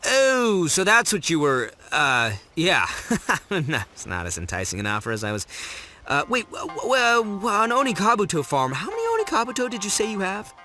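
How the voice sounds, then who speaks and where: A man's voice: high voice, wildly swooping pitch, moderate pace, heavily acted. A man voicing a brash, dim but big-hearted young man in a video game, boisterous, slangy and stammering, with theatrical flourishes. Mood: greedy excitement. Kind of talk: animated game voice acting. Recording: loud background music.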